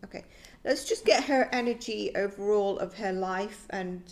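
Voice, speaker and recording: A woman's voice: medium pitch, normal speed. A woman giving a psychic tarot reading, thinking aloud in a tentative, musing way that often trails off.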